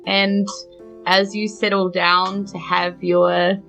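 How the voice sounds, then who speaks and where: A woman's voice: medium pitch, level tone, slow, creaky, a bit bright, soft background music. A woman, casually hosting a tabletop game podcast as game master. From New Zealand.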